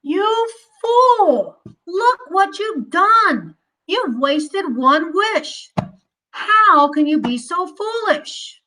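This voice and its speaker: A woman's voice: very high-pitched, very animated, very slow, pushed and bright, full character voice, poor audio. A woman playing a woodcutter's sensible but nagging wife in a storybook read-aloud, exclaiming expressively. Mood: furious, scolding.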